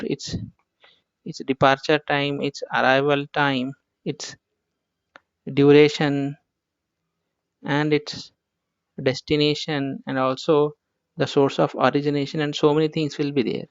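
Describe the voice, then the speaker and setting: A man's voice: on the high side, flat delivery, unhurried, long pauses, smooth, no room sound, highs cut off. A man with an Indian accent gives an informal tutorial as an instructor, explaining things step by step and often repeating himself.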